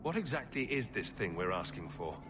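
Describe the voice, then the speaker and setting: A man's voice: fairly low pitch, very animated, fairly quick, noisy background. An actor playing a confident, direct and wry male adventurer hero in a fantasy video game. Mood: polite, curious.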